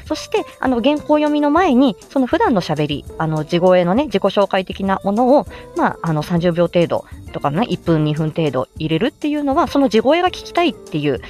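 Woman chatting with very lively intonation. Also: medium pitch, normal speed, few pauses, faint music behind. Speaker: amateur voice actor explaining how to make voice samples. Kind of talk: casual explanatory livestream chat. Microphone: smartphone, close.

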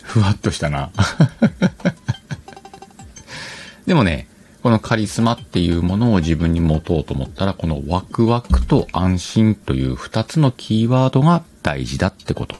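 A man's voice: low voice, big pitch swings, unhurried, darkish tone, soft background music. A man hosting a casual advice talk, explaining conversationally and questioning his own phrasing as he thinks aloud. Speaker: podcast host.

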